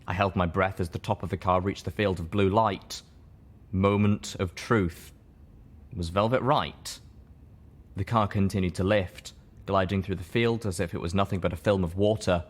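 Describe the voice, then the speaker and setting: A man's voice: deep voice, fairly even intonation, moderate pace. A man narrating a story in a dramatic audiobook style. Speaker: story reader.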